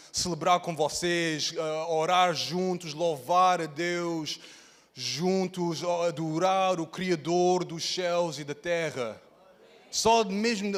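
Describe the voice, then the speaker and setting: Portuguese-accented male guest preacher, playful and exclamatory, long church reverb. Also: on the high side. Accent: European Portuguese. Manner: playful, exclaiming, talks straight to the congregation. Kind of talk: lively sermon opening. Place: large church hall.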